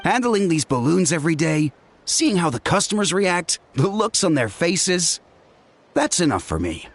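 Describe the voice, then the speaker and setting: A man's voice: a little high, very animated, normal speed. A man playing an easygoing, contented young balloon operator in a video game, casual and earnest. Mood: modest, content.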